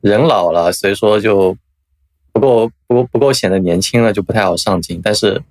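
A man speaks as a podcast co-host with a voice a little on the low side. His delivery is conversational, like an informal discussion among colleagues. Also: a little fast, a little mellow, dry recording, studio quality. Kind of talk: casual discussion.

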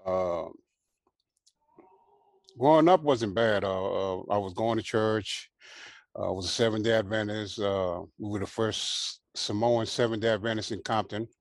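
A man in his sixties from Hawaii, raised in Southern California, telling his life story as a guest in a relaxed way, full of fillers. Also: on the low side, no room sound. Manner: relaxed, unhurried, many fillers and "you know". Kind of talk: casual interview storytelling.

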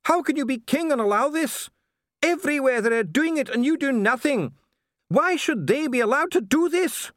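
A man voicing a zealous dwarf who rants in a shrill, strained voice close to sobbing, in a storybook reading. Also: lively intonation, moderate pace, fairly bright tone, no echo. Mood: ranting.

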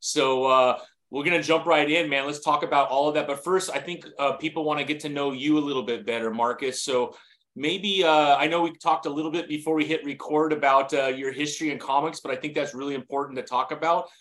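A man's voice: fairly high pitch, steady tone, a little fast, fairly bright tone. A man hosting an interview show, talking in an upbeat, encouraging way and often affirming the guest. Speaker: interview host. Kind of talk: casual interview chat.

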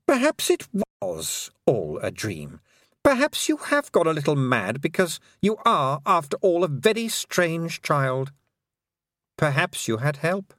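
A man's voice: on the high side, huge pitch swings, a little slow, fairly bright tone, no echo, studio quality, pitched above the performer's own voice, heavily acted. A man voicing a cruel, scheming fairy queen who speaks in a cold, menacing way.

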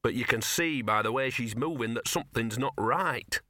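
Man as a small scruffy dog, growly, grumbling, blustering, colloquial, forceful, storybook character. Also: mid-range voice, normal speed, no echo, clean studio sound, close to an everyday voice. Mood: worried.

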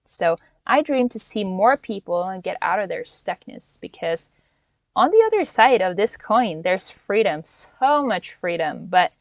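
A woman in her twenties from Norway hosts her own coaching podcast, speaking straight to the listener. She sounds earnest and encouraging, with the odd restart and small laugh. Her voice sits at an ordinary pitch and is recorded dry, with little room sound, from inside a van. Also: animated, measured pace, dry recording. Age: 20s. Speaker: podcast host and life coach. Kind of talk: solo podcast talk.

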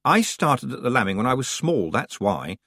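A reader voicing a sensible, sharp-tongued young girl witch, level and reproachful. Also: medium pitch, sing-song, moderate pace, dry recording, studio quality, voice pushed up. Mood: matter-of-fact.

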